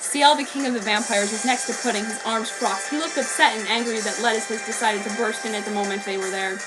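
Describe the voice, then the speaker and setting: A woman's voice: fairly high pitch, steady tone, fast talker, low-quality recording. A woman reading fan fiction aloud in an earnest, slightly hesitant way with occasional slips. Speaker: reader. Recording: background soundtrack music.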